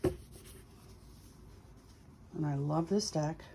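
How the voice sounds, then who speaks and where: A woman's voice: low voice, very slow, gravelly, heavy background noise. A woman hosting a tarot reading, chatting casually and thinking aloud in short, musing phrases. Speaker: tarot reader hosting a video series.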